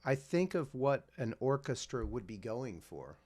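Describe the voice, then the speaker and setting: Lively-voiced middle-aged male interviewer. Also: medium pitch. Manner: admiring, halting with restarts. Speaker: interview host. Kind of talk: casual interview. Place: home room with bookshelves. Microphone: video call with desk mic.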